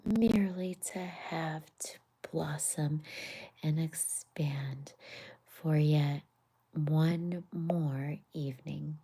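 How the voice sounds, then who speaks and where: Woman whispering a vain sulky toad from a storybook, low and husky. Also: drawn-out words, warm tone, exaggerated voice. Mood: remorseful. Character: boastful vain male toad, remorseful.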